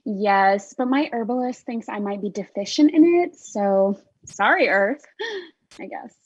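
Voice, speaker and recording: A woman's voice: mid-range voice, unhurried, breathy edge, no echo. A woman playing an entitled, disdainful middle-aged shopper in a comedy table read, pointedly polite and demanding. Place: remote virtual table read. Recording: muffled video-call audio.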